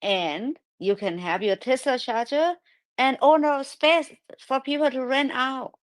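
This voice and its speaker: A woman with a thick Thai accent hosting a live audio chat, speaking broken English in an animated, excitable way. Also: high voice, unhurried, dry recording. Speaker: host.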